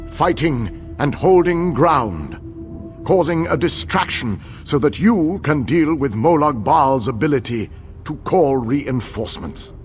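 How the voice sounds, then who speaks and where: A man acting a grave, commanding leader in a fantasy game, rallying troops in a solemn, measured, oratorical way. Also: on the high side. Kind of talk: scripted fantasy game dialogue.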